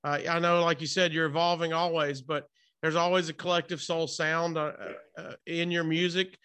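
A man's voice: a little high, flat delivery, moderate pace, bright, forceful tone, smooth. A man hosting a casual interview, chatting in an informal, rambling, buddy-like way. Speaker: interview host.

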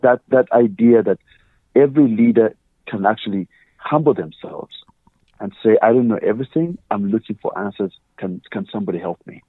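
A man's voice: fairly low pitch, fairly quick, no echo, phone-quality sound. A man with a Ugandan accent, a guest earnestly explaining his views with examples and quoted questions. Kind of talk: conversational interview.

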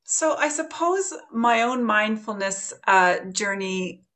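A middle-aged woman with a Canadian accent, a podcast guest telling her own story in a soft, thoughtful way with many pauses. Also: on the high side, animated. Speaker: podcast guest, meditation teacher. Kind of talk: reflective conversational storytelling.

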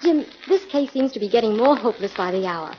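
A woman acting a sleuth's plucky female sidekick in a 1940s radio play. Her voice is on the high side, crisp and questioning, on a muffled recording with faint noise. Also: animated, a little fast, close to an everyday voice. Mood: inquisitive.

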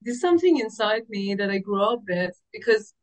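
A woman's voice: mid-range voice, animated, fairly quick. Female podcast guest, Iranian accent. Informal, effusive, conversational delivery. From Iran. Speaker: podcast guest, activist artist. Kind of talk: casual conversational interview.

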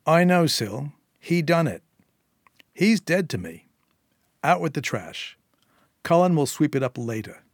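A man's voice: fairly high pitch, big pitch swings, unhurried, pauses often, dry recording, studio quality, pitched above the performer's own voice, heavily acted. A man voicing a suave crime boss who is coldly polite and threatening. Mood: menacing. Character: suave menacing male London crime boss.

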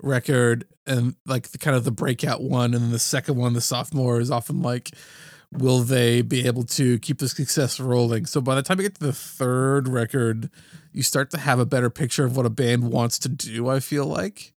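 Casting: male co-host, mid-range voice, dry close recording, halting and filler-heavy. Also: medium pitch, steady tone, no room sound. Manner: hesitant, lots of fillers like "uh" and "like". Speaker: podcast co-host. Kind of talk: casual music podcast chat.

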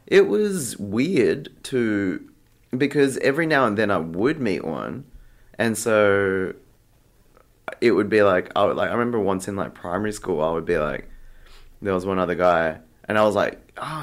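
An Australian man chatting casually as an interview guest, telling stories in a rambling, halting way full of filler "like". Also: fairly low pitch, expressive. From Australia, Sydney. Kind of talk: casual anecdotal chat.

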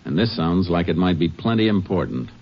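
Deep-voiced, calm Texas Ranger. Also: male voice, slightly rough, heavily acted. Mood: probing, calm. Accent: American. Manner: measured, matter-of-fact questioning. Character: Texas Ranger, calm, methodical, probing male investigator. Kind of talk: 1950s western radio drama acting. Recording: muffled old radio recording with noise.

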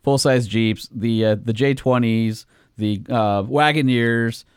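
A man's voice: mid-range voice, slow pace, clear, resonant tone, no room sound, studio quality. A man hosting a truck podcast, asking questions with enthusiasm and frequent hesitations. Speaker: podcast host. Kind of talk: casual interview talk.

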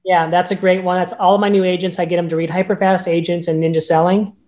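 A man with a notably high-pitched voice, a real estate agent speaking as a podcast guest in an upbeat, emphatic way. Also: little pitch movement, quick pace, darkish tone. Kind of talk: casual podcast interview.

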